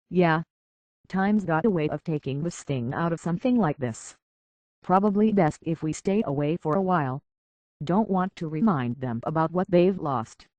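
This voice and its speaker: A man's voice: a little high, a little mellow, no room sound, natural voice. A man playing a world-weary, decent android detective in a video game, speaking in a wry, plainspoken, reflective way on slightly dull audio. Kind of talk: video game character dialogue.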